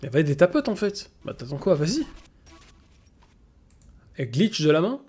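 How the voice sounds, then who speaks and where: A woman's voice: deep voice, very animated, moderate pace, slightly rough. A woman giving casual live reaction commentary as a streamer, in a blunt, slangy way with swearing. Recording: loud music and cartoon audio behind.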